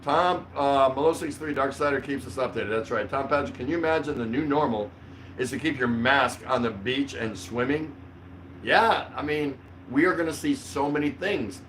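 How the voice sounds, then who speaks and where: An older man hosts a relaxed livestream from a small indoor room. He rambles conversationally with a joking, mildly sweary manner. A light layer of background noise sits behind his voice. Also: mid-range voice, moderate pace, rough recording. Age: older, around 60. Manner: rambling, jokey, mildly profane. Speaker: livestream host. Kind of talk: casual livestream chat.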